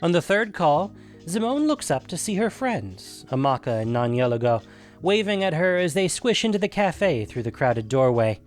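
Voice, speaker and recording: A man's voice: a little high, big pitch swings, moderate pace, soft background music. A man hosting and narrating a scripted fantasy audio story. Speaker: narrator and podcast host.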